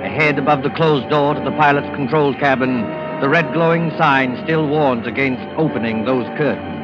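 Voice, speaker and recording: A man's voice: mid-range voice, normal speed, over music, lightly acted. A man giving dramatic scripted narration in 1940s radio style, on a muffled old recording. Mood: suspenseful.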